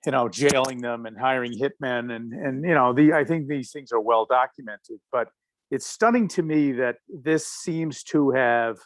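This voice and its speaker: A male panelist speaking over a video call at a middle pitch. He talks in a halting, searching way, full of pauses and restarts. The sound is dry and close. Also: no room sound, rough recording. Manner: hesitant, halting with many fillers and restarts. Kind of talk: casual panel discussion.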